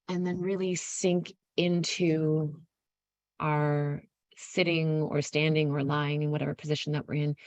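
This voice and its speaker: A woman leading a guided meditation, giving gentle instructions in an unhurried, softly inviting way with pauses mid-phrase. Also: low-pitched, creaky, no room sound.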